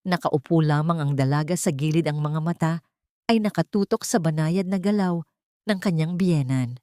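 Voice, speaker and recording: A woman narrates a scripted drama in a somewhat low voice. She tells it solemnly and expressively, as a storyteller. The sound is dry, with hardly any room to it. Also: normal speed, mellow, no room sound.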